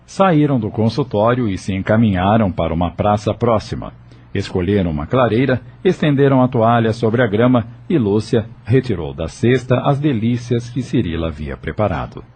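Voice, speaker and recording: A man's voice: fairly low pitch, animated, moderate pace, husky. A man with a Brazilian accent narrating a dramatic audio novel from a script. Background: soft music.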